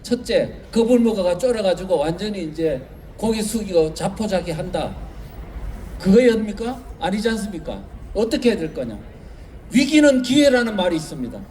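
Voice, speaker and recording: A man's voice: high voice, expressive, normal speed, a little mellow, slight background noise. A male professor giving an urgent speech at an outdoor rally, full of rhetorical questions.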